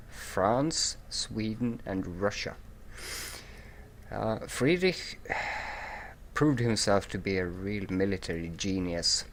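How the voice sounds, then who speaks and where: Low, slow, groggy-voiced man. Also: slight background noise. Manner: rambling, many ums and restarts. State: groggy morning voice. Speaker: let's play host. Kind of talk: casual game commentary.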